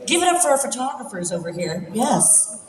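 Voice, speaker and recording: A woman's voice: on the high side, very animated, brisk, a little hiss or hum. A woman hosting a convention panel, upbeat and encouraging the audience. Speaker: panel host. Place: large convention hall. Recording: echoey hall, mic far off.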